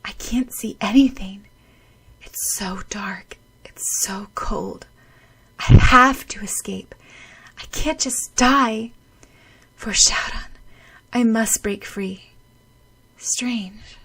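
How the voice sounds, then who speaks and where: A woman voicing a determined young girl heroine, often in breathy whispered inner thoughts. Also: on the high side, bright, forceful tone, pitched above the performer's own voice, heavily acted.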